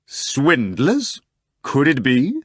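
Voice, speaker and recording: A man's voice: mid-range voice, very animated, takes it slowly, a bit bright, no room sound, clean studio sound, voice pushed up. A man voicing a suave, condescending male magician in an audiobook reading. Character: suave, condescending foreign magician, an older devil.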